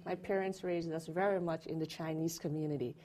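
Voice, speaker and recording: A woman's voice: deep voice, fairly even intonation. A middle-aged woman from Jamaica, an interview guest, speaking in a casual chat with many fillers and restarts. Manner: warm, halting, with frequent fillers and restarts. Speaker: interview guest, retired federal employee.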